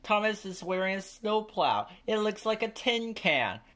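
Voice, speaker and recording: A teasing storybook steam engine, voiced by a man, pitched well up. He mocks openly and gleefully. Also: very animated, unhurried, pushed and bright, heavily acted. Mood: mocking.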